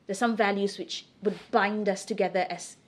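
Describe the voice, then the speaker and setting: A woman's voice: mid-range voice, steady tone, moderate pace. Candid middle-aged woman in interview. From Singapore. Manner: candid, emphatic, opinionated. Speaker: interview guest. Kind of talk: conversational interview answers.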